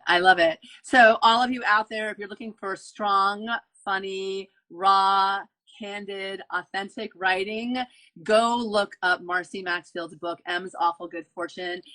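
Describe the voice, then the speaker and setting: A woman's voice: mid-range voice, fairly even intonation, unhurried, sharp tone, low-quality recording. A woman hosting an author interview, gushing and effusive, often repeating her phrases. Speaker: podcast host. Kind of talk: enthusiastic interview wrap-up.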